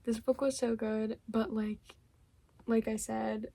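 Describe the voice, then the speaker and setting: A young woman, a little hoarse from losing her voice, chatting casually about books she read, rambling with lots of fillers and giggles. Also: fairly high pitch, flat delivery, slow pace, mellow. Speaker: book vlogger. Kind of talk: casual book wrap-up chat.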